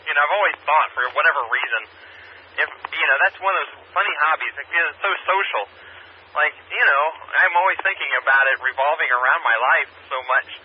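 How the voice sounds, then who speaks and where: A man chatting casually over a two-way ham radio, talking in a rambling, halting way. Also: on the high side. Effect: two-way radio transmission. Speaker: amateur radio operator. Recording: muffled, noisy radio repeater picked up by scanner.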